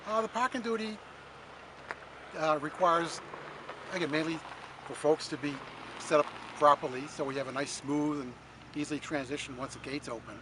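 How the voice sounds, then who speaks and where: A man answering casually as an event volunteer in an outdoor interview, easygoing and halting as he explains things. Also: on the high side. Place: outdoors at a radio swap meet. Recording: noisy outdoor vehicle ambience.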